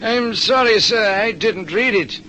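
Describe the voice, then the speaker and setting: A man's voice: high-pitched, bright, forceful tone, slight background noise, voice pushed up, rough recording. A man voicing an elderly, loyal butler with formal, deferential manners in a 1940s radio drama. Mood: reserved, hesitant. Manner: deferential, measured, proper.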